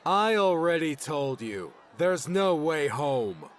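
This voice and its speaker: A man's voice: fairly high pitch, sing-song, takes it slowly, slight background noise. An actor playing a young, hardened man who defiantly guards his home, terse and intense, in an audiobook drama.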